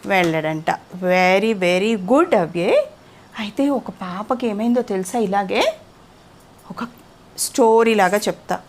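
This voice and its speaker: A woman's voice: medium pitch, animated, takes it slowly, a little hiss or hum. A woman telling a moral story conversationally to a child, often stopping to ask questions. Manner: interactive, asks the child questions.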